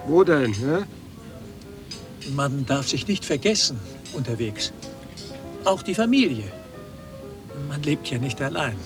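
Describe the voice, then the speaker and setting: Slow, high-pitched fretful man. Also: very animated, exaggerated voice. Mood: indignant. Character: queasy, fretful, self-important male passenger. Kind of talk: German radio drama acting. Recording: distant voice with music behind.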